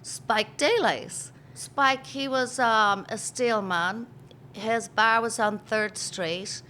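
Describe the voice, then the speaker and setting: Irish woman, 50s, bright chatty storyteller, interview guest. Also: a little high, slow pace, slight background noise. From Ireland. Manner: chatty storyteller, laughs easily, acts out quotes. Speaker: pub owner, interviewee. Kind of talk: casual interview chat.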